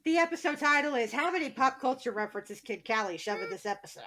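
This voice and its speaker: A woman's voice: fairly high pitch, expressive, quick pace, pushed and bright. A woman co-hosting a podcast, chatting casually in a brash, blunt, jokey way. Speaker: podcast co-host.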